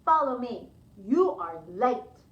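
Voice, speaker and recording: Woman playing a brisk, lively male store manager. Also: a little high, roomy sound, highs cut off. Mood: bossy. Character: brisk, bossy man managing a bookstore.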